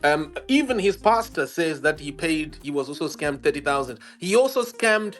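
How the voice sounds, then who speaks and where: A Zimbabwean man with a slightly raised pitch argues his case on a livestream. He is emphatic and combative, repeating phrases to drive them home. Soft guitar music plays behind him. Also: animated, moderate pace. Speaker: investigative journalist, livestream guest. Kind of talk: argumentative livestream interview.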